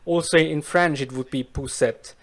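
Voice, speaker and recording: A Swiss man whose first language is Swiss German, speaking careful, slow learner's English as an interview guest. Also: fairly high pitch. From Switzerland. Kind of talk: casual interview chat.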